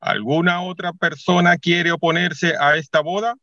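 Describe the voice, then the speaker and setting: An actor playing a solemn priest officiating a church wedding in a radio drama, in measured, ceremonial speech. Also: male voice, a little high, steady tone, gravelly, bright, forceful tone, dry recording, dull audio.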